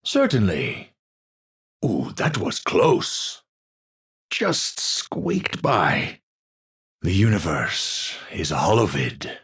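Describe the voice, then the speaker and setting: An actor playing an eccentric, unstable scientist in a video game, speaking in a theatrical, formal and halting way. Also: male voice, deep voice, huge pitch swings, fairly bright tone, slightly rough, exaggerated voice. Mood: musing, pleased. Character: eccentric, unstable male scientist awed by the universe. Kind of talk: video game character voice lines.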